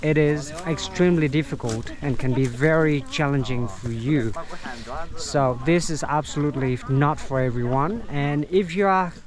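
A man with a Vietnamese accent, a tour guide vlogging casually while trekking outdoors, speaking halting English and searching for words, recorded on an action camera. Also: on the high side, crowd noise. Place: outdoors on a mountain trail. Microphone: action camera carried along.

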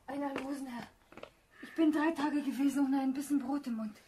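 High-voiced, pleading beggar girl played by a woman. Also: steady tone, fairly quick, distant mic, lightly acted. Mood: desperate pleading. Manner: pleading, wretched. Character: destitute, pleading young woman, fallen merchant's daughter. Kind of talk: radio drama acting. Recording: echoing space, faint noise.

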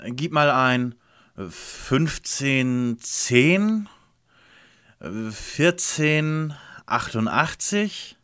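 A man playing a bossy, measured young lead detective in a parody detective audio drama. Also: medium pitch, fairly bright tone, smooth. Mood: concentrating.

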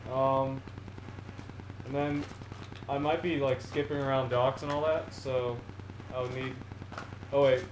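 A man's voice: medium pitch, unhurried, pauses often. A teenage boy showing off fishing gear in a casual haul video, rambling with many ums and restarts, seated on a couch in front of a camera on the floor. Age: teens. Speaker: fishing vlogger. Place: small indoor room, seated on a couch. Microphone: camera on the floor in front of him. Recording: echoey room with a steady noise floor.

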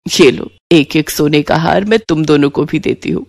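A woman's voice: low voice, moderate pace, a little mellow, dry recording, clean studio sound, pitched below the performer's own voice. A woman voicing an elderly, plaintive but affectionate mother-in-law in a cartoon story. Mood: grateful.

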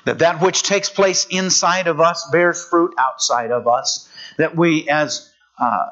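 Male preacher, fairly high voice, earnest and measured, dull lo-fi church recording. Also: a bit bright. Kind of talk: sermon.

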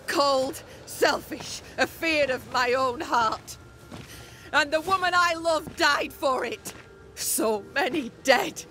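A woman playing a guilt-ridden, grieving younger sister in a dramatic video game, slow and anguished, with archaic phrasing. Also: high-pitched, pushed and bright, soft background music, heavily acted. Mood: anguished, bitter.

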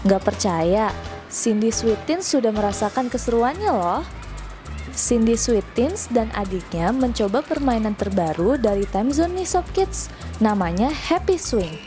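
A woman's voice: fairly high pitch, big pitch swings, measured pace. A woman giving an upbeat, chatty scripted voice-over for a children's entertainment show, speaking straight to young viewers. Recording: voice-over laid over clear background music.

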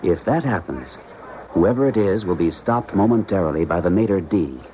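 An actor playing a cultured scientist with precise, educated diction in a 1970s radio drama. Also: male voice, deep voice, animated, moderate pace. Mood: assured, precise. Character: cultured, uneasy male scientist helping a colleague defect. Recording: muffled 1970s radio recording, faint noise.

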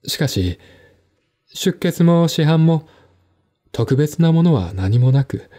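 A man playing a composed, articulate man in a one-man audio drama, explaining things in a measured, reflective way. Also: fairly high pitch, animated, dark tone. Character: composed, articulate man recounting his stepmother's death.

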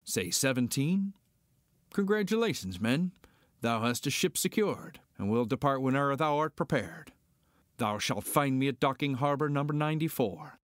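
An actor playing a cocky, roguish smuggler pilot, declaiming archaic verse in an amateur Shakespearean reading. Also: male voice, mid-range voice, lively intonation, normal speed, dry recording, clean studio sound, lightly acted. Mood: cocky, wry.

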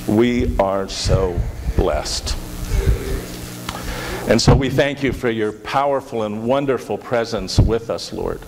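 A man's voice: on the low side, slow pace. A middle-aged man, a pastor, speaking earnestly in prayer and announcements, often repeating words as he goes. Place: large church sanctuary. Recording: reverberant church hall with hiss.

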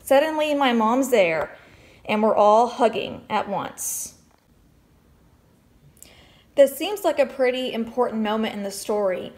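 A woman's voice: on the high side, lively intonation, measured pace, long pauses, darkish tone. A woman reading a storybook aloud expressively as a teacher, with explanatory asides and questions for children.

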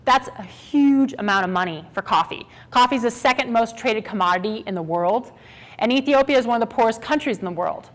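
A woman in her thirties from Minnesota, giving a lively, conversational stage talk to an audience. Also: fairly high pitch, a little fast. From Minnesota, USA. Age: 30s. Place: small stage with brick wall backdrop.

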